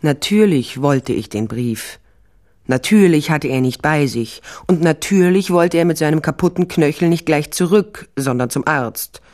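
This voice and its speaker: A woman's voice: low-pitched, expressive, unhurried, darkish tone. An actress playing a tough, wry female private investigator in a German radio crime drama, blunt and dry. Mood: wry, reflective. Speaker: narrator and lead actress.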